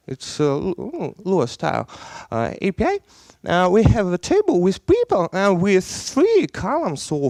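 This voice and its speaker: A man in his thirties from Belarus with a Belarusian accent, giving a technical conference talk in non-native English, halting and full of fillers, on a headset mic on stage. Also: sits high, darkish tone, no echo, studio quality. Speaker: conference speaker.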